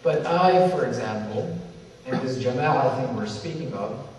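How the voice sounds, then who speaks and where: A man from Somalia giving a religious lecture to an audience, speaking in a measured, repetitive way with slow emphasis and Arabic recitations. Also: mid-range voice, animated, a little mellow, slight background noise. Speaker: symposium speaker. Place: large hall with audience. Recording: echoey hall from far off.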